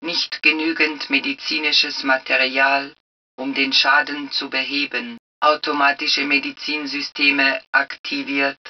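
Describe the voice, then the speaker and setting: Slow, impersonal suit computer voice. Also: female voice, extremely low pitch, level tone, far from the mic, highs cut off. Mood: impersonal, alert. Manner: clipped, impersonal, measured, matter-of-fact delivery. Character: calm female computer voice of a powered combat suit. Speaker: game suit announcer. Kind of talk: scripted system announcements in a video game.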